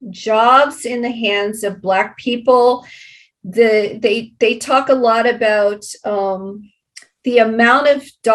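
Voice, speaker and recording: An American woman speaking as an interview guest and community advocate, explaining conversationally and repeating points for emphasis. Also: a little high.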